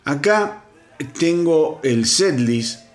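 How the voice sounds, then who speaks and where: A man's voice: fairly high pitch, animated, extremely slow. Stuffy-nosed guy rambling through rock news, lots of ums, faint music under him. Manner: chatty, with many fillers and restarts. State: congested, sore throat. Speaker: rock news podcast host. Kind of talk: solo news commentary.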